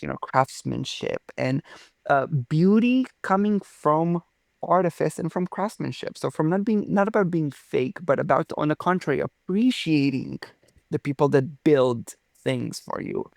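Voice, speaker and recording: A young man from Romania talks through his story in an interview, warm with enthusiasm and fond of theatrical turns of phrase. His voice has a noticeable gravelly fry and a mid-range pitch. The sound is close and dry. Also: dry recording. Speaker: physicist guest.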